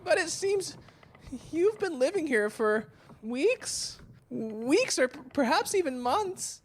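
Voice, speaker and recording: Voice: high-pitched, sing-song, takes it slowly, heavily acted. An actor playing an anxious, tired new recruit in an audio drama, hesitant and pleading in casual modern speech. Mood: nervous. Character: anxious, exhausted young new actor posing as sailor.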